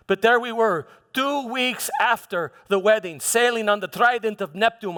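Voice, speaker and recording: A man playing a proud, exasperated Roman governor in a theatrical first-person stage monologue, halting and repeating words for emphasis as he tells his story. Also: high voice, a bit bright. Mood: pleased, reminiscing. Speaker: church dramatist performing a monologue. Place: church hall before an audience. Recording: echoey church hall, music behind.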